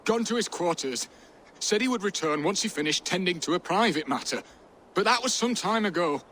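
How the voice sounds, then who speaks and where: An actor playing a defensive, haughty priest in a video game, indignant and blustering. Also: male voice, high-pitched, expressive, a little fast, fairly bright tone, a little hiss or hum. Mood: uneasy.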